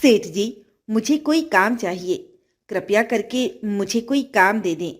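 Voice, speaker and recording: A woman's voice: mid-range voice, animated, measured pace, pitched below the performer's own voice. A woman voicing a poor, kind-hearted young boy in a children's fairy tale, polite, earnest and pleading.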